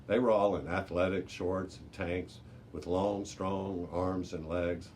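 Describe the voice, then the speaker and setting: A man's voice: sits low, a little mellow. A man reading a children's adventure story aloud as a library narrator, with the odd small stumble. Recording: noticeable background noise.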